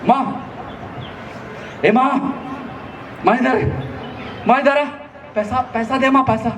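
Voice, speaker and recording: A man voicing a sheepish teenage son who pleads in a quick, whiny way. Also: very high voice, steady tone, a little hiss or hum. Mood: demanding. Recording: roomy echo, distant mic, music behind.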